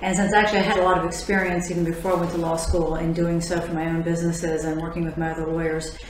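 A woman in her forties from Miami, a lawyer and podcast host, answering interview questions in a relaxed way with easy laughs. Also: lowish voice, fast, slight background noise. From Miami, Florida. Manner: laughs easily, fillers between thoughts. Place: office lounge with large windows. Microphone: tabletop condenser mic. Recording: very echoey room, faint ambience.

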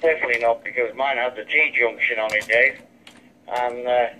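A man's voice: medium pitch, fairly even intonation, fairly quick, sharp tone, a little hiss or hum. A man chatting casually as an amateur radio operator, his voice coming through a handheld radio. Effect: heard through a handheld radio. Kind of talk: casual two-way radio chat. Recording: dull lo-fi two-way radio speaker.

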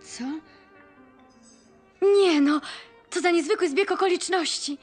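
A frank, high-voiced young lady. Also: slow pace, faint music behind. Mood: surprised. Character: frank young woman, daughter of a landowner. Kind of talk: 1970s television period drama acting.

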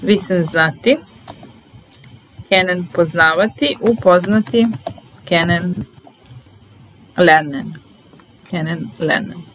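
A young woman teaching German vocabulary in a slow, deliberate drill, saying each word and repeating it calmly. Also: lowish voice, faint music behind, rough recording. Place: small indoor room at a desk.

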